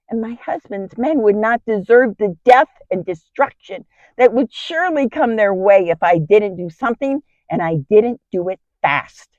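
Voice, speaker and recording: A woman's voice: fairly low pitch, a little mellow, no echo, muffled recording. A woman playing a kind, brave biblical wife in an earnest, theatrical dramatic monologue. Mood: urgent, resolute. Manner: theatrical, earnest, emphatic storytelling. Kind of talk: dramatic first-person Bible monologue.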